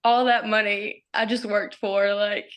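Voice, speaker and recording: A young woman from Arkansas, a college softball pitcher, chatting casually as a podcast guest with frequent giggles and lots of "like". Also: little pitch movement, unhurried, clean tone. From Arkansas, USA. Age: young adult. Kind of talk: casual interview chat.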